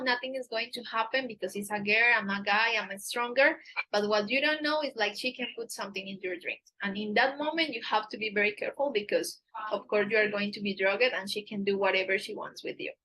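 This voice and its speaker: A woman's voice: mid-range voice, pushed and bright, poor audio. A Colombian woman with a Paisa accent, a native Spanish speaker, giving earnest advice on a live Q&A in careful English full of fillers. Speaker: dating agency staff on a live Q&A.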